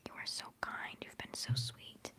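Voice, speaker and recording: A young woman hosting a livestream, whispering softly as she rambles through chat messages and answers viewers fondly, holding back tears. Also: normal speed. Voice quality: soft whispering voice. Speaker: livestream host.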